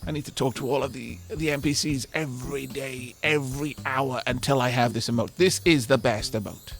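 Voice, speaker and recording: A man streaming a game, bantering with chat in an animated, rambling, self-deprecating way, with faint music behind. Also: medium pitch, brisk, vocal fry. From Wales.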